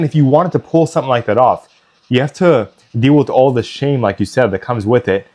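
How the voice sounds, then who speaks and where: Young male co-host, dry deadpan delivery, methodical explainer, mid pitch. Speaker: podcast co-host. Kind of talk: casual podcast discussion.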